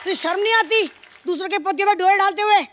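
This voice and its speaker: A woman playing a loud, dramatic, scolding village wife and mother in live TV sketch comedy. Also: very high-pitched, fast, a faint crowd. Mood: scolding, outraged. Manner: theatrical, scolding, exclaiming. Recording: noisy stage with studio audience.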